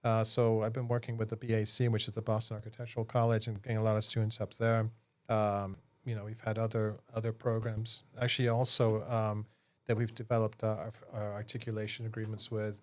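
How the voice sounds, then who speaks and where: A man's voice: on the low side, completely flat delivery, fairly quick, dry recording. A man, an architecture professor, explaining his program in an earnest way, with many fillers. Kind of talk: interview answers.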